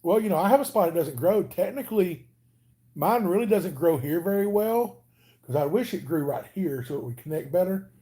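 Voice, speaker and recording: A man's voice: high voice, lively intonation, moderate pace, warm tone. A man hosting a casual live stream, chatting and answering viewers by name in an easygoing way. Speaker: channel host reading live chat.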